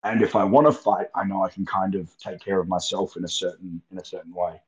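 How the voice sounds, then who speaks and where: A young Australian man with a fairly low voice chats loosely as an interview guest, drifting through long stories and laughing easily. His voice comes through a remote call, muffled and lo-fi, with the top end dulled. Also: fairly quick. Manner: relaxed, rambling, laughs easily. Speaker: guest wrestler. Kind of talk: casual interview chat.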